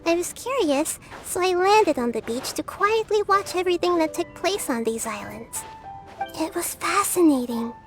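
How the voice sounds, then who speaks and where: A woman voicing a gentle, mysterious bird spirit in a video game, soft-spoken, serene and wistful. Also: very high voice, lively intonation, normal speed, music in the background. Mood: serene, admiring.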